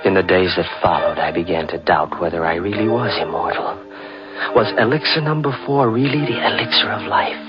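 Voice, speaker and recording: A man's voice: low-pitched, fairly quick, lightly acted. An actor playing a scheming, paranoid man who believes himself immortal, in brooding, theatrical old-time radio thriller style. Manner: theatrical, brooding, soothing to his sweetheart. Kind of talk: 1940s radio thriller acting with first-person narration. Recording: muffled vintage radio recording with music.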